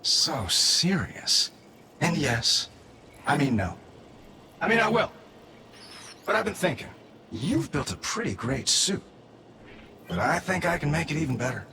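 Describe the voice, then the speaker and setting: Cocky, gravelly, animated AI sidekick. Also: male voice, a little high, a little slow, pauses often, noisy background, exaggerated voice. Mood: brash, excited. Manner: brash, theatrical, rambling. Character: cocky, eccentric male artificial intelligence, an engineer sidekick. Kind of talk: video game voice acting.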